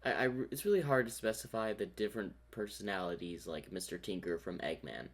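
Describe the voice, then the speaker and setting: Low-voiced man giving casual commentary. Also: fairly quick. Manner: musing, thinking aloud. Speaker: reaction host.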